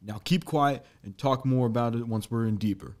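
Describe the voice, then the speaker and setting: A man's voice: medium pitch, moderate pace, darkish tone, natural voice. Male actor as wary Western protector, mid-range voice, curt and authoritative, audio drama. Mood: stern, guarded. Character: stern, practical Wild West man, wary protector. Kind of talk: amateur audio drama acting.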